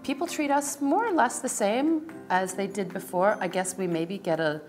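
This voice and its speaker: A woman's voice: medium pitch, moderate pace, a little mellow, faint music behind. A woman explaining things conversationally as an interviewee. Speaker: interviewee in a documentary.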